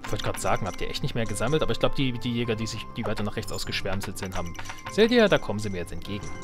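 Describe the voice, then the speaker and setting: A man with a slightly low voice talks quickly through his game. He comments casually as a let's play host, reasoning out loud for the viewers. Music plays clearly behind his voice. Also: big pitch swings. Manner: thinks aloud, addresses viewers. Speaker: gaming host.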